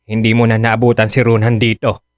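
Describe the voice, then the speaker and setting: A man's voice: lowish voice, completely flat delivery, fairly quick, no echo, clean studio sound, lightly acted. An actor playing an apologetic, mild-mannered older Filipino father in a radio drama, hesitant and pleading. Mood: grateful, sheepish.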